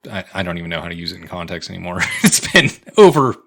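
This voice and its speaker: A man's voice: low voice, sing-song, fast, creaky, a bit bright. An American man in his twenties co-hosting a podcast with casual, profane, slangy comedic chat. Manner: profane, slangy, often breaking into laughter. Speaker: podcast co-host.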